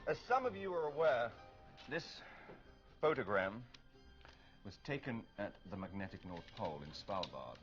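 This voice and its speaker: An actor playing a bold, stern gentleman explorer in a film drama, brisk and authoritative. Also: on the low side, very animated. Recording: dull film audio with faint music.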